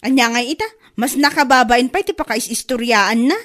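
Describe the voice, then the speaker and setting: A woman's voice: fairly high pitch, a little fast, fairly bright tone, close to an everyday voice. A woman with an Ilocano accent acting an aggrieved, gossipy laundrywoman in a radio comedy drama, given to exclamations. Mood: gossipy, surprised. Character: gossipy, aggrieved laundrywoman chatting with her friend.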